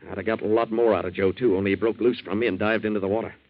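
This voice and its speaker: An actor playing an earnest, plain-spoken young American boat hand in a 1940s radio drama. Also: male voice, on the low side, fairly even intonation, very fast, lightly acted. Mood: earnest explaining. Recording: muffled 1940s radio broadcast.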